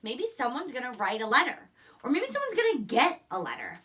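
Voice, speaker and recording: A woman teacher giving a phonics lesson and read-aloud to young children, encouraging, enunciating deliberately and repeating words, picked up by a distant microphone. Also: high-pitched, huge pitch swings, pushed and bright. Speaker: elementary school teacher.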